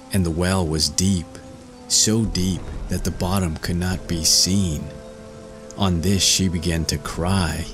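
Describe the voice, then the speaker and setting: A male storyteller narrates a scripted fairy tale in a low, gravelly voice. The reading is very unhurried.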